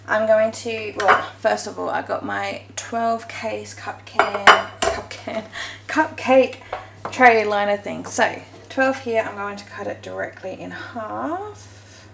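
Home-cooking vlogger, female, chatty and self-correcting, a touch of vocal fry, roomy kitchen sound. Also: mid-range voice, slow pace. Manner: chatty, self-correcting, talks while working with hands. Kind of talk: casual baking walkthrough. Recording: echoey kitchen with faint noise.

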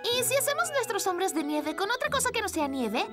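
A cheerful, caring little girl from a children's cartoon. She has a very high, hugely animated voice. Music plays strongly behind her encouraging delivery. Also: a little fast. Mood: excited, encouraging. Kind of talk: Spanish-dubbed children's cartoon acting.

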